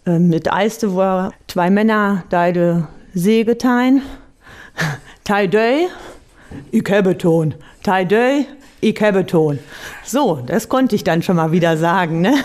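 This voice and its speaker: A woman's voice: medium pitch, slow pace, darkish tone. A woman, answering interview questions hesitantly with many fillers. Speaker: amateur theatre member.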